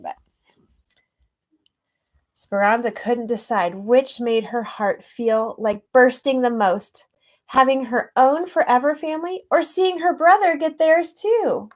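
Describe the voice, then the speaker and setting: A woman reading a children's storybook aloud expressively and gently, with chatty asides to young listeners. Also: on the high side. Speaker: children's author reading own book aloud.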